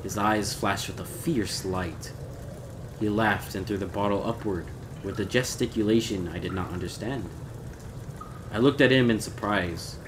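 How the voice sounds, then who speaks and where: A man reading a gothic horror story aloud in a measured, theatrical way. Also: fairly low pitch, background noise. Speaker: audiobook reader.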